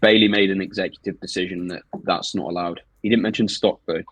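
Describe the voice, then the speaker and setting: A man's voice: low voice, fairly even intonation, fast talker, fairly bright tone, slightly rough. A man in his twenties co-hosting a podcast, teasing his co-host and reading out listener questions. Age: 20s. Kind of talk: casual chat.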